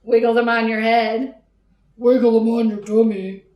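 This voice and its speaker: A woman leading a toddler storytime in simple short phrases, with an animated, sing-song, encouraging manner. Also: fairly high pitch, normal speed, lo-fi sound. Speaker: children's librarian leading storytime. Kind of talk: toddler storytime, singing-along instruction and read-aloud. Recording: echoey room.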